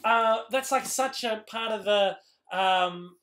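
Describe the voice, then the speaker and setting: A young Australian man hosting a casual live-chat Q&A, rambling with many ums, his voice going hoarse. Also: high voice, slow pace, a bit bright, a little hiss or hum. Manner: rambling, lots of ums and restarts. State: losing his voice. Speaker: YouTube host answering live chat.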